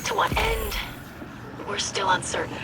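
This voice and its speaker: A woman's voice: very high-pitched, wildly swooping pitch, measured pace, creaky, full character voice. A woman playing an earnest, compassionate young female alien scribe in a video game, speaking in formal, solemn phrases. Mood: thoughtful, concerned. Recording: loud game music behind.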